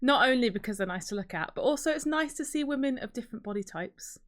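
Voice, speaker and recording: A woman's voice: fairly high pitch, animated, quick pace. Casual woman co-hosting a podcast. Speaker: podcast co-host. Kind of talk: casual podcast chat about films and games.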